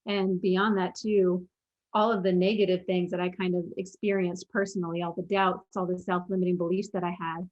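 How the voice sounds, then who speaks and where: A woman's voice: on the low side, little pitch movement, normal speed, darkish tone, dull audio. A young adult woman speaking as an interview guest, earnestly telling her story and quoting her own past thoughts. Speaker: guest, former pro basketball player and mental performance coach.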